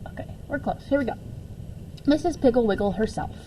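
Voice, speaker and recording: Higher-voiced woman reading a children's story. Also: slight background noise, low-quality recording. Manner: expressive, deliberate reading with stumbles and self-corrections. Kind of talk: read-aloud children's story with casual asides.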